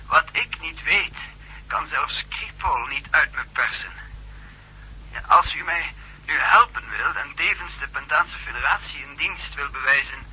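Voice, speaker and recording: A man's voice: a little high, a little slow, sharp tone, husky, exaggerated voice. An actor playing a guarded, urgent man on the run in a 1960s radio drama, giving insistent, clipped instructions. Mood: insistent, tense. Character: urgent, secretive man hunted by a secret police. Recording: muffled old radio recording, faint hum.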